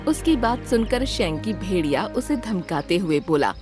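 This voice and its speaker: Female storyteller for children's cartoon, very animated intonation, music bed. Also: mid-range voice, normal speed. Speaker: narrator. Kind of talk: scripted children's story narration.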